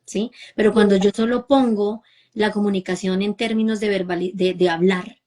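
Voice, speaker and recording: A woman's voice: medium pitch, steady tone, moderate pace. A woman from Bogotá with a Colombian accent, a mother speaking earnestly as a guest and often checking in with "¿sí?". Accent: Bogotá Colombian Spanish. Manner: warm, emphatic, repeats and asks "¿sí?" for agreement. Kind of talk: conversational talk.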